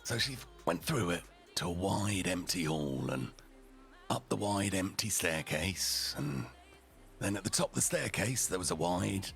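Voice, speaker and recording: A man voicing a rough and ready, foul-mouthed storyteller, narrating a storybook tale theatrically with deliberate, dramatic pauses. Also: low voice, lively intonation, fairly bright tone, soft background music, full character voice. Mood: gruff, dramatic.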